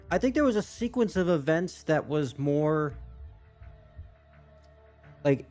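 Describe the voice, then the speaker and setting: A man's voice: medium pitch, darkish tone. Casual male gaming reactor, faint music behind. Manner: rambling, thinking aloud. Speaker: gaming reaction video host.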